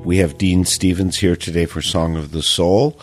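A man's voice: low-pitched, steady tone, normal speed, over music. A man hosting a radio interview, introducing his guest and asking conversational, opinionated questions. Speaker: radio show host.

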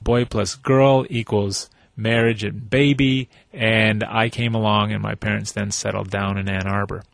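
A man in his forties from the United States, a guest in an interview, telling his family history in a measured, storytelling way. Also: lowish voice, flat delivery, gravelly. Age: 40s.

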